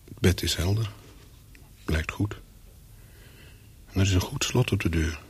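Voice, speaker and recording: An actor playing a wary, secretive English hotel guest in a radio play, telling his story in short, measured sentences with a husky voice. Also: exaggerated voice. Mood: brooding, reflective. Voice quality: low, husky. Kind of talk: radio play, first-person narration with dialogue.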